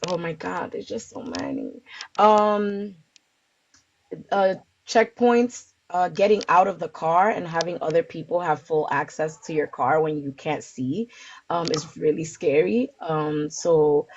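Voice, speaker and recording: A woman's voice: on the low side, expressive, slow pace, a little mellow. A woman giving casual interview answers, informally and hesitantly, searching for her words. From the Bronx, New York, United States. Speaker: interview guest, community organizer. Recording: dull lo-fi audio with background noise, clicks.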